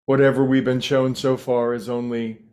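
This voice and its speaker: Man guiding a meditation, unhurried pauses. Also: medium pitch, flat delivery, warm tone. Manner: unhurried, pausing between short phrases. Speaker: meditation guide. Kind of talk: guided meditation talk.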